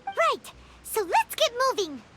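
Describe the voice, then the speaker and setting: Squeaky excitable little companion creature. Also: female voice, takes it slowly, loud music behind the voice, heavily acted. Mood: excited. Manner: eager, blurting questions.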